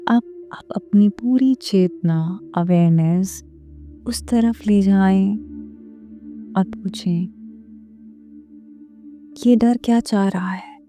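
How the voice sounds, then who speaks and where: Slow, mellow woman guiding a meditation. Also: medium pitch. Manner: gentle, measured, unhurried phrasing with pauses. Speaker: meditation guide and series host. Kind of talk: guided meditation, slow soothing instruction. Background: background music.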